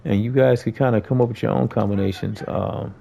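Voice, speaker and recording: A man's voice: medium pitch, steady tone, fairly quick, gravelly, dark tone. An American man giving a casual, conversational gameplay tutorial, talking informally to viewers as a host.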